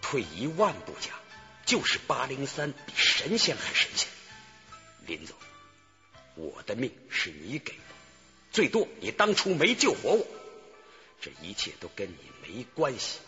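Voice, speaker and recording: A man's voice: medium pitch, wildly swooping pitch, unhurried, pauses often, bright, forceful tone, exaggerated voice. An actor playing a scheming, hot-headed henchman in a radio drama, coaxing and emphatic in a conspiratorial way. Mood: brash, insistent. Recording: muffled broadcast with music behind.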